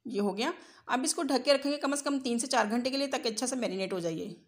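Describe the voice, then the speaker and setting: The voice is a woman's, pitched on the high side. She presents as a home cook hosting a recipe channel. Her delivery is an instructional voice-over that walks through each step in order. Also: fast talker.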